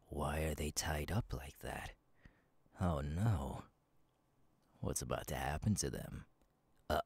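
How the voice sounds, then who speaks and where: A man voicing a sadistic masked killer in an ASMR roleplay, husky and taunting, slow and halting, often dropping to a whisper. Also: a bass voice, no room sound, exaggerated voice. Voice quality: husky, gravelly. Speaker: voice actor, ASMR artist. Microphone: close, dry microphone.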